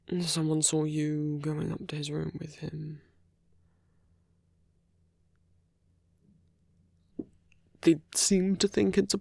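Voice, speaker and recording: A performer playing a suave male villain in a romantic ASMR roleplay, speaking in hushed, deliberate phrases with pauses. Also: medium pitch, vocal fry, no room sound. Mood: calm, reassuring. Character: suave male villain urging a captured hero to flee.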